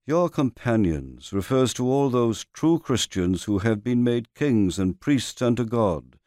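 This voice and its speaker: A man reading a scripted expository sermon in a measured, formal manner with deliberate phrasing. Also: medium pitch, animated, a little mellow, dry recording, studio quality. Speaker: reader of a historical sermon.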